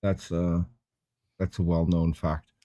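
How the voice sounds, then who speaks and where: A man's voice: low voice, little pitch movement, slow, warm tone. A man on a casual panel chat who makes his points with rhetorical questions. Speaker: panel guest.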